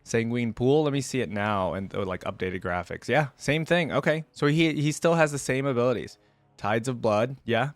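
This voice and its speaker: A man with a mid-range voice hosts in a casual, off-the-cuff way. He chats informally and thinks out loud as he reacts. The sound is dry, with no room on it. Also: mid-range voice, animated, moderate pace, no echo, soft background music. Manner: informal, chatty, thinks aloud. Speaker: host of a reaction video.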